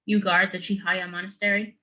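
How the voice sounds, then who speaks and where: A woman's voice: medium pitch, flat delivery, brisk, pushed and bright, natural voice. A woman playing a composed, terse female warrior in a dramatic reading, matter-of-fact and curt. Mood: composed, firm.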